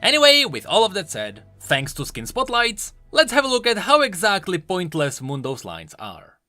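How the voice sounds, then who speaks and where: Male lore video host: scripted, emphatic commentary, sharp tone, hugely lively pitch, music bed. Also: normal speed, dry recording. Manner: emphatic, swooping delivery. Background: background music.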